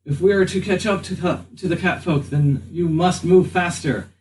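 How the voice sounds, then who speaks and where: A man's voice: high voice, normal speed, pitched above the performer's own voice, lightly acted. A man voicing a commanding rider creature in a tabletop game, urgent and formal in phrasing. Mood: urgent, demanding. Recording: slightly distant mic, faint noise.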